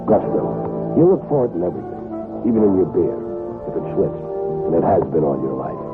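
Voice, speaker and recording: Loud music plays behind a thin, narrow-band vintage radio advertisement. An American man with a very deep voice reads the dramatic scripted narration in the manner of a 1970s commercial announcer. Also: big pitch swings, brisk.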